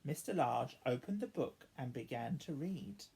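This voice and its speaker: Low-voiced, husky woman reading a bedtime story. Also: unhurried, low-quality recording. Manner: animated, acting out each character's dialogue. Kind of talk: expressive children's storybook reading.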